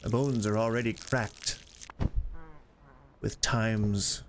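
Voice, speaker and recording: A man's voice: lowish voice, fairly even intonation, takes it slowly, a little hiss or hum. A man in his forties chatting casually and rambling while playing a game, joking about getting old. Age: 40s. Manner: rambling, self-deprecating, chatty. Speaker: gaming streamer. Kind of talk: casual gameplay chat.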